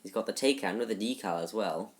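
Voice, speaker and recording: A teenage boy giving casual gameplay commentary in a halting, rambling way, full of restarts, recorded in a shared room at home. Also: lowish voice, background noise. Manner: subdued, somewhat flat delivery. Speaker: gaming YouTuber.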